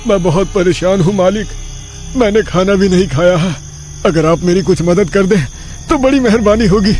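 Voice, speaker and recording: A humble, troubled drifter in a horror cartoon, acted out by a male narrator. His voice is high for a man, meek and deferential, like a servant addressing his master. Faint music sits behind him. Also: moderate pace, a little mellow, close to an everyday voice. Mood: pitiful pleading. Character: troubled, humble, servile homeless burnt man seeking shelter. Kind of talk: horror cartoon story acting.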